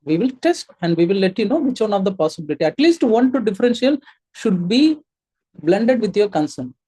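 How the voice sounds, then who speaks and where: A man's voice: sits high, big pitch swings, a little fast, dark tone, husky. A man coaching doctors for a clinical exam, emphatic and instructive, often posing rhetorical questions. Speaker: medical exam mentor and teacher. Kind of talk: coaching lecture.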